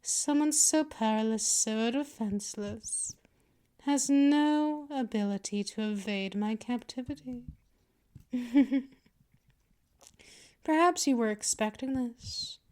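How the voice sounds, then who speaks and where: A woman's voice: fairly high pitch, slow, pauses often, a little mellow. A woman playing a dominant, patient vampire mistress in a scripted ASMR roleplay, speaking in a condescending, teasing purr straight to the listener. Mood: gloating. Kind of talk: scripted ASMR roleplay, intimate second-person address.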